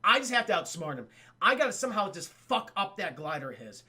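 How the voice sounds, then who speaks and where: A man's voice: high voice, very animated, a little fast, pushed and bright, breathy edge, full character voice. A man voicing a blunt, unbothered young cartoon superhero in a casual, sweary, emphatic way. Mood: determined.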